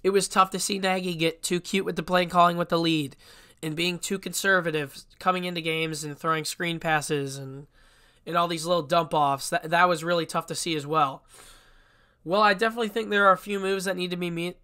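Young male podcast host, congested from a cold, fairly high and bright, casual and blunt. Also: steady tone, a little fast, clean tone. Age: young adult. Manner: chatty and blunt, with frequent restarts. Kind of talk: casual solo sports podcast.

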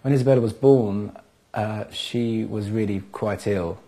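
A man, giving a halting personal account in an interview at home. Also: fairly low pitch, mellow. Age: 30s. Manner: hesitant, halting. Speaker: father telling his family's story. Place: living room at home, indoors.

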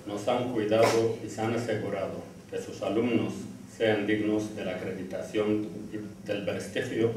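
A man's voice: fairly low pitch, a little mellow, distant mic, a little hiss or hum. A middle-aged man giving a formal graduation speech, reading from notes at a lectern in a classroom. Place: indoor classroom, standing at a lectern. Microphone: lectern mic, filmed by a far camera. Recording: echoey room heard from afar.

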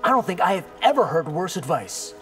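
An actor playing a cocky but earnest young ninja hero in a fighting game, with a wry, youthful manner. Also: male voice, high voice, very animated, brisk, far from the mic, loud music behind the voice. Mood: wry, challenging.

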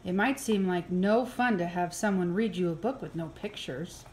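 A woman reading a children's picture book aloud in an expressive, playful way, with exaggerated reactions. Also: fairly low pitch, moderate pace, slight background noise, poor audio. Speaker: early childhood program coordinator reading aloud. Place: indoors, filmed over an open book.

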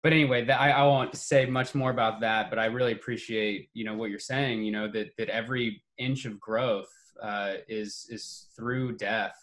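A man's voice: fairly low pitch, steady tone, moderate pace, a bit bright. Conversational man hosting a video call. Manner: conversational, with repeated "you know". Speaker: host. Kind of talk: moderating a discussion on a video call.

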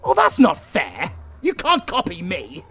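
A man's voice: sits high, huge pitch swings, vocal fry, a bit bright, faint music behind, exaggerated voice. A British man playing an arrogant, flirtatious supervillain in a romantic comedy audio roleplay, theatrical and easily exasperated. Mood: exasperated, outraged. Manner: theatrical, swinging from slow menace to exasperated outbursts. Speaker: voice actor.

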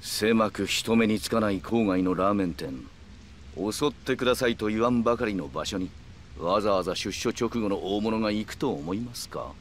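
An actor playing a calm, calculating middle-aged yakuza man who speaks in polite, measured, formal phrases in a video game. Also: lowish voice, slight background noise, natural voice. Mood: grave, explanatory. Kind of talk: Japanese video game cutscene acting.

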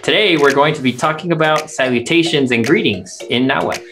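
A man's voice: fairly high pitch, fairly quick, a bit bright. A man teaching in a scripted language lesson, explaining words and their meanings step by step. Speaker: teacher, translator. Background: music.

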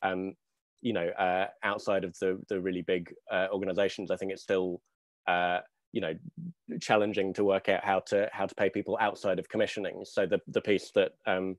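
A man in his thirties speaking on a video-call panel, thinking aloud in a hesitant, halting way with searching pauses. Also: low voice, level tone, no room sound. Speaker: theatre maker, panelist. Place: small dim room at home, video call. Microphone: wired earphone mic on video call.

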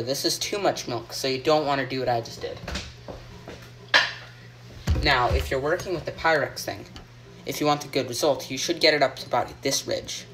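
Teen boy host, deadpan joke cooking show, phone-filmed in a kitchen, hissy audio. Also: mid-range voice. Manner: deadpan, rambling, with ums and mock instructions. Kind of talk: joking mock cooking show. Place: home kitchen, indoors. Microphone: phone camera held by a friend. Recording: noisy home phone video.

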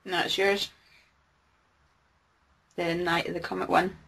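A woman's voice: fairly low pitch, little pitch movement, slow pace, fairly bright tone. A woman co-hosting an interview, teasing the others and laughing often.

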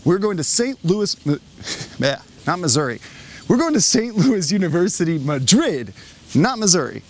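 A man's voice: sits high, moderate pace, a little hiss or hum. A man in his thirties hosting a college vlog, talking animatedly to a handheld camera outdoors on a city street. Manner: animated, explanatory. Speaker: college counselor host. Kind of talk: upbeat vlog presenting.

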